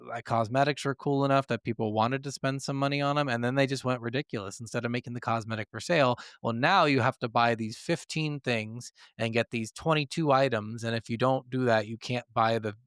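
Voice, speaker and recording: A man's voice: medium pitch, steady tone, brisk, few pauses, fairly bright tone, no room sound, studio quality. A man co-hosting a gaming podcast, talking casually and bluntly with plenty of swearing. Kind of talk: casual opinionated podcast chat.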